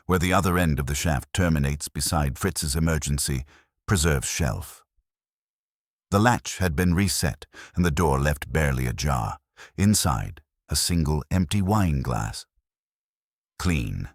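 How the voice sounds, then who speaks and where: A man with an extremely low voice narrates a first-person detective story. His pitch moves in lively swings from line to line. The sound is dry, with hardly any room on it. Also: no room sound.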